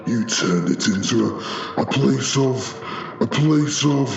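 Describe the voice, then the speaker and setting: A man's voice: medium pitch, expressive, slow pace, gravelly. A man playing a pompous, power-hungry ancient demon duke, gloating and ranting grandly in an audio drama. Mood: haughty, scornful. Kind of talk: audio drama villain acting. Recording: distant, echoey, muffled voice. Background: music.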